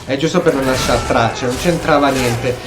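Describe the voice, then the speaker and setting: A man's voice: medium pitch, a little fast, music in the background. A man giving casual, blunt, colloquial commentary while playing a video game. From Italy. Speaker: gamer, video host.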